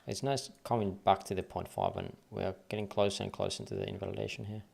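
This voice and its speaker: A man's voice: lowish voice, steady tone, fast talker, creaky, darkish tone. A man from Finland with a light Finnish accent, thinking aloud and counting waves under his breath as he goes through charts on a casual trading livestream.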